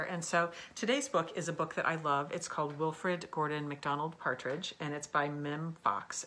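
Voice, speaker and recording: A woman's voice: deep voice, bright, forceful tone. A woman hosting a children's storytime, explaining things expressively and putting questions to her young listeners. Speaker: storyteller, former teacher. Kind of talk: read-aloud storytime for children, with asides and questions.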